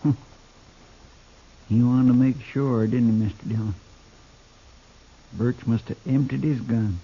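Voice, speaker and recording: An actor playing a loyal, folksy frontier deputy with a rural American drawl in a 1950s radio western. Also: male voice, mid-range voice, fairly even intonation, a little slow, natural voice. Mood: subdued, dutiful. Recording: muffled, faintly noisy vintage radio recording.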